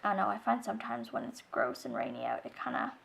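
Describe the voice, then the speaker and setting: A slightly hoarse young woman chatting casually while she decorates her planner, rambling softly and often dropping to a near whisper. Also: rumbling low, big pitch swings, fast. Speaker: planner vlogger.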